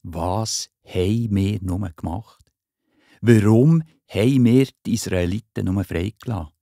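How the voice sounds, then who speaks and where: An actor playing a stubborn, agitated, commanding Pharaoh in a children's Bible audio drama. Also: male voice, lowish voice, unhurried, creaky, mellow, dry recording, clean studio sound. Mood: regretful, commanding. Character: stubborn, agitated male Egyptian ruler.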